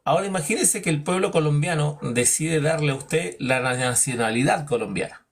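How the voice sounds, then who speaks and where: A man's voice: moderate pace, vocal fry. A man teaching a Bible lesson in a preaching style, asking rhetorical questions and acting out imagined dialogues. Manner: emphatic, drops low on verdicts.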